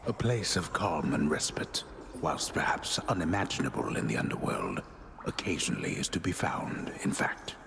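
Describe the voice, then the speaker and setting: Grave game narrator, rock-bottom pitch, gravelly, measured and formal. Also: male voice, sing-song, noisy background, heavily acted. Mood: solemn. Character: solemn, grave storyteller of the underworld. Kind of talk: scripted video game narration.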